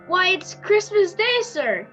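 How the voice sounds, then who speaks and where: A woman's voice: very high voice, sing-song, slow pace, sharp tone, lo-fi sound, soft background music, exaggerated voice. A woman voicing an eager, polite young London street boy in a theatrical radio play. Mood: cheerful.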